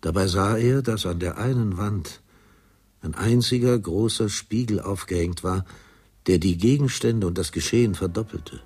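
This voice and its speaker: A man narrating a literary radio drama in a husky, measured, grave manner. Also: darkish tone. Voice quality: deep, husky.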